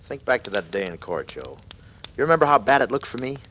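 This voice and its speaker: An actor playing a brooding, guilty and slightly unhinged man in a halting, dramatic 1940s radio style. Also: mid-range voice, very animated, fairly bright tone. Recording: noisy old radio recording.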